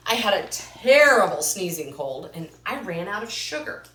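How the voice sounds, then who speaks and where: A woman's voice: mid-range voice, big pitch swings, moderate pace, a bit bright, a little hiss or hum, voice pushed down. A woman reading a storybook as a chatty wolf who defends himself in a conversational, persuasive way. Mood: matter-of-fact. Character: chatty self-justifying wolf telling his side. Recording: roomy echo, distant mic.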